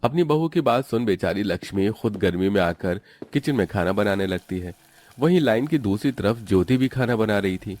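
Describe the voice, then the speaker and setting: A man's voice: lowish voice, animated, normal speed, darkish tone, no room sound. A man narrating a scripted family cartoon story as the storyteller.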